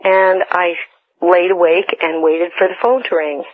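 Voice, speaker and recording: A woman's voice: on the low side, steady tone, unhurried. Woman caller on a tinny phone line. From western United States. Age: middle-aged or older. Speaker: radio show caller. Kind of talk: telling a personal story. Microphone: telephone.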